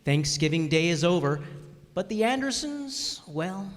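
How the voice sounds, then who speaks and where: A man narrating a live old-time radio play, wry and unhurried. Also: high voice, very animated. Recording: live stage recording in a hall.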